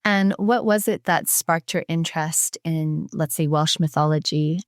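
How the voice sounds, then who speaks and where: A woman hosting a podcast, asking interview questions in a measured, warm way. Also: low voice, dry recording, clean studio sound. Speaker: podcast host.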